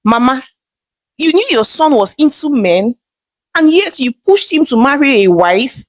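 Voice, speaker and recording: Woman voicing a wronged Nigerian wife, high and distressed. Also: big pitch swings, measured pace, no echo, heavily acted. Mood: hurt, accusing. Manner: pleading, reproachful.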